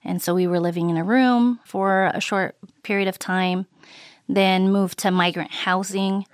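A woman born in Mexico recalling her life in an interview, reflective and unhurried with frequent ums and uhs. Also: mid-range voice, steady tone, clean tone, dry recording, clean studio sound. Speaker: interviewee, immigrant rights advocate. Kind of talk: recorded interview recollection.

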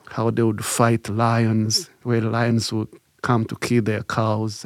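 A man, telling his life story in a reflective, halting way with many fillers. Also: mid-range voice, vocal fry, no room sound, studio quality. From Rwanda. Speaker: interview guest. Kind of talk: recalled life story, casual.

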